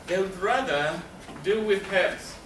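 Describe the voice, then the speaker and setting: Male presenter, explanatory and emphatic, slightly high voice, recorded at a distance in a reverberant room. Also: slow pace, fairly bright tone. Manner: explanatory, asks and answers own questions. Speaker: counselor giving a presentation. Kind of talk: spoken presentation to a live audience. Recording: roomy, distant mic with noticeable background noise.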